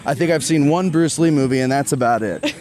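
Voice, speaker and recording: A man's voice: a little high, brisk, a little mellow. A man in his forties doing a casual, joking interview on a group hike outdoors, recorded on a handheld recorder. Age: 40s. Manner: chatty, self-deprecating, joking. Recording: outdoor crowd noise around.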